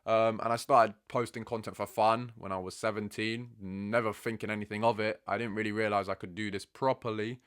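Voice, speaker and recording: Young man in his twenties from England, low voice, loose and conversational, slangy football-fan Q&A. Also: a little fast, few pauses, clean tone. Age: 20s. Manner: relaxed, chatty, says "bro" often. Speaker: football content creator. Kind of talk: casual Q&A chat.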